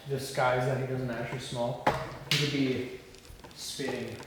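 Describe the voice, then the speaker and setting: A man talks casually in a group discussion at a middle pitch. The recording is very noisy, with long reverb on his voice. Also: little pitch movement, moderate pace. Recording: very echoey room, loud noise.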